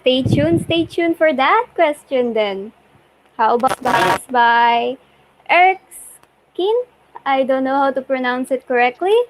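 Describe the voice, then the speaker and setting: A woman's voice: high voice, animated, slow pace. A woman hosting a casual livestream, effusively repeating words as she reads out questions from the community. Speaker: host reading community questions. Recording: noisy livestream audio with clicks.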